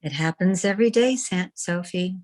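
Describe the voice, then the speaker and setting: A woman's voice: on the low side, moderate pace, darkish tone, lightly acted. A woman playing a composed, mischievous newspaper publisher in a radio comedy, speaking politely. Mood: feigned innocence. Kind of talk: old-time radio comedy acting. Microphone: video call.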